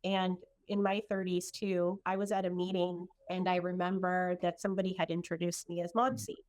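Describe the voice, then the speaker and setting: A woman's voice: on the low side, level tone, moderate pace, darkish tone. Earnest middle-aged woman telling her story. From El Salvador. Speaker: guest in a conversation. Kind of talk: reflective personal conversation.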